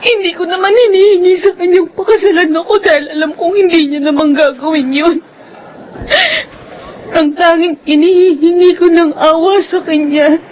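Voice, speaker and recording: An actress playing a desperate, wronged young pregnant housemaid who is crying, in a radio drama. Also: female voice, very high-pitched, slight background noise, rough recording. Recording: music behind the voice.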